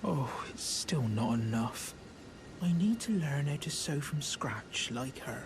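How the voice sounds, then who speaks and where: A man's voice: mid-range voice, animated, takes it slowly, noisy background. A man voicing a timid, kindly demi-human creature in a fantasy video game, with a meek, wistful manner. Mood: wistful, self-doubting.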